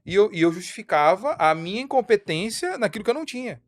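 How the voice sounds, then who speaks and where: A young Brazilian man co-hosting a music podcast, telling his story in a casual, animated way. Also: high voice, fast. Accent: Brazilian Portuguese. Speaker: podcast co-host, music producer.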